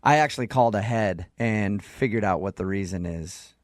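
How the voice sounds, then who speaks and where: A man's voice: fairly low pitch, normal speed, no echo, clean studio sound. A man hosting a radio show in casual, joking morning banter, with a teasing, conversational way of talking. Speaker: radio host. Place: radio studio.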